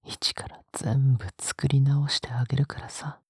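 Woman voicing a breathy, obsessive young yandere man. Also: rumbling low, fairly even intonation, a little slow, no room sound, clean studio sound, full character voice. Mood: coaxing, whispery. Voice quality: breathy, husky. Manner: soft coaxing murmurs slipping into whispers, gently menacing. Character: obsessive yandere young man, sweetly possessive, sadistic captor. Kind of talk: Japanese audio drama acting, intimate monologue to listener.

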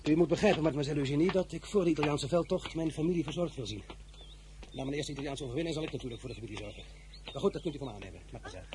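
An actor playing a young, ambitious and self-assured general in a radio play, assertive and blunt. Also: male voice, a little high, racing, background noise, close to an everyday voice. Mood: persuasive. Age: young adult.

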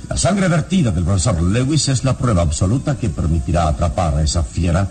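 An actor in a radio drama playing a solemn, relentless and eloquent heroic investigator who questions in formal, theatrical diction. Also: male voice, low voice, sing-song, a little fast, darkish tone, faint music behind. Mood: forceful, menacing. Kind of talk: classic Latin American radio drama acting.

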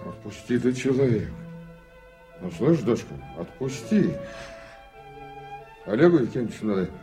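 An actor playing a homely, fatherly middle-aged man gently urging others along in a radio drama. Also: medium pitch, big pitch swings, normal speed, natural voice. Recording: 1980s radio play, faint music.

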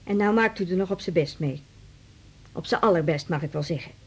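An actress playing an overburdened middle-aged daughter in a radio drama, complaining and insistent in manner. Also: female voice, lowish voice, fairly quick, breathy edge. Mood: aggrieved. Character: overburdened, nagging daughter caring for her aged mother. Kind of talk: 1980s Dutch radio drama acting.

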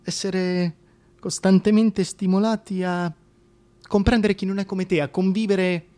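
An actor playing a bitter, scheming, deformed Shakespearean duke who pities himself, moving between declaimed verse and hushed confession in a radio drama. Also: male voice, high voice, fairly even intonation, measured pace. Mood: confiding. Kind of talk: theatrical radio drama monologue.

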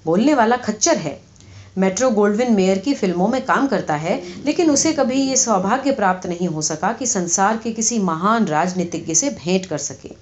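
A woman puts on a brash American magazine man from a satirical storybook. He is breezy, eager and excitable. The recording is a touch dull. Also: medium pitch, slight background noise, natural voice. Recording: slightly dull, lo-fi audio.

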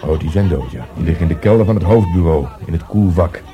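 An actor playing a dry, fond, slightly drunk police detective in a radio drama. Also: male voice, low voice, expressive, fast, warm tone. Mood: dry, matter-of-fact. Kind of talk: naturalistic radio drama acting. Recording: music behind the voice.

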